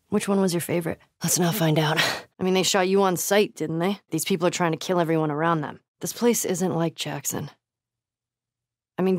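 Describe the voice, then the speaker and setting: A woman's voice: lowish voice, no room sound, clean studio sound, natural voice. An actress playing a tough, foul-mouthed, wisecracking young woman survivor in a video game, blunt and naturalistic. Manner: blunt, sweary, wry.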